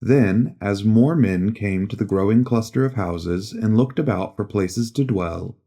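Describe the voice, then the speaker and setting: A man narrating a literary audiobook in a measured, solemn storytelling manner. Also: fairly low pitch, dark tone.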